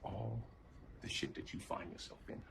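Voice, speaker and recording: An actor playing a stern, exasperated, protective older brother in a screen drama, blunt, scolding and profane. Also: male voice, normal speed, close to an everyday voice. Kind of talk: naturalistic screen drama acting. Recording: noisy ambience with clicks.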